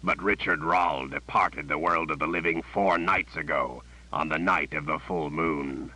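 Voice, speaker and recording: A man voicing a sinister tyrant who speaks through a captive woman in a gruff voice. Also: rumbling low, unhurried, bright, forceful tone, exaggerated voice, rough recording.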